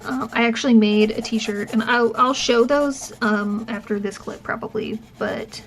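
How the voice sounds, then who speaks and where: A woman's voice: a little high, steady tone, measured pace, a little hiss or hum. A young adult woman talking casually to camera, chatty and full of fillers and restarts. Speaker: vlogger and professor. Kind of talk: casual chat.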